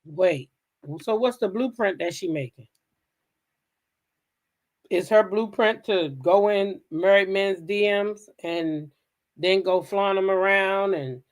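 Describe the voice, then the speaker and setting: A woman's voice: mid-range voice, takes it slowly. An American woman hosting a gossip talk show, giving blunt, emphatic commentary in a casual style and repeating phrases for effect.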